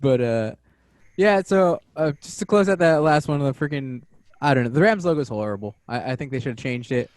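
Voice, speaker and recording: A man co-hosting a podcast in relaxed, rambling casual chat. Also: medium pitch, fairly quick, dry recording. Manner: easygoing, rambling with fillers. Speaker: podcast co-host.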